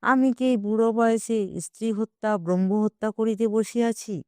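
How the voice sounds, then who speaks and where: A woman voicing a wry, coaxing old Bengali gentleman, a self-justifying matchmaker in an audio storybook, speaking deliberately. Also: on the high side, fairly even intonation, smooth, no echo, clean studio sound, natural voice. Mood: alarmed, protesting.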